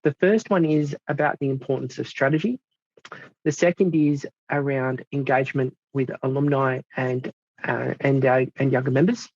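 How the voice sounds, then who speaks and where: An Australian man in his thirties giving a club presentation, halting with many fillers. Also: medium pitch, steady tone, darkish tone, no echo. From South Australia. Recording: dull dry lo-fi sound.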